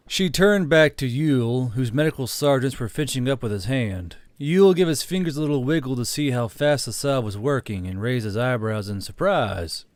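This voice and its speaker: Give me a man narrating a fiction audiobook, with a middle-pitched voice in a close, very dry recording. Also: no echo.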